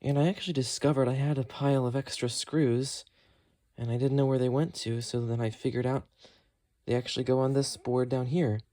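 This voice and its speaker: A man with an average-pitched voice hosts a hands-on tutorial. He talks casually and thinks aloud as he works, explaining each step in an unscripted way. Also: steady tone, a little fast, mellow. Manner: thinks aloud while working. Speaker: host of a repair tutorial.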